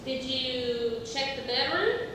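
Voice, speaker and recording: A woman playing a scatterbrained, well-meaning character in a community theatre comedy, with emphatic, drawn-out delivery. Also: animated. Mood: helpful. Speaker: amateur stage actor. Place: theatre stage, distant microphone. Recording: echoey hall from far off, rumble.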